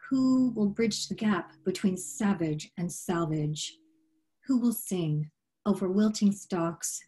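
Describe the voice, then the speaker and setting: A middle-aged woman giving a poetry reading in a measured, deliberate, solemn delivery over a video call. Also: lowish voice, dark tone, low-quality recording. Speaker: poet reading her work. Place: small room at home, on a video call. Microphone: computer microphone on a video call.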